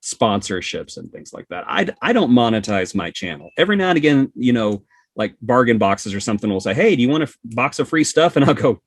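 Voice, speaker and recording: A man's voice: mid-range voice, big pitch swings, quick pace, dry recording. A man chatting casually as a podcast guest, with sarcastic, joking asides. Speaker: podcast guest, hobby content creator. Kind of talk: casual chat.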